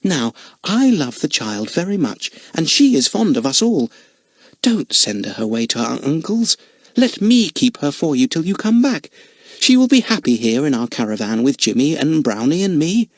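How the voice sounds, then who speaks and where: Brief: man doing a gentle mother figure, lifted pitch, lively lilt. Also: moderate pace, no room sound. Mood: kindly. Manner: gentle and kindly. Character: warm motherly woman running a caravan home.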